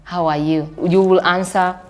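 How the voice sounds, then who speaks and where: Woman guest, lowish easy voice. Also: fairly even intonation, moderate pace, soft background music. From Burundi. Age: 30s. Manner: warm storytelling with frequent restarts and repeated words. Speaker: interview guest. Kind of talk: casual conversational interview.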